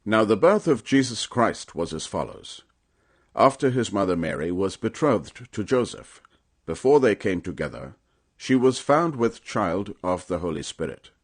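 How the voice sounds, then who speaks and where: A man with a fairly low voice narrates scripture for an audiobook. His delivery is solemn and measured, with deliberate phrasing. The intonation is highly animated, swinging widely in pitch for dramatic weight.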